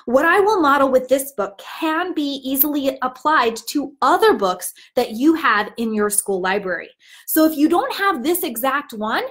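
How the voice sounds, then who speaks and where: A woman presents like a teacher training other teachers. Her voice sits fairly high and swings widely in pitch. She enunciates carefully and speaks expressively, as if modeling a storybook read-aloud.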